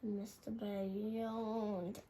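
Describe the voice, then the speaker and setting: A woman's voice: medium pitch, flat delivery, drawn-out words, mellow. A woman reading a children's storybook aloud as its narrator. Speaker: storybook reader. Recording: clearly noisy background.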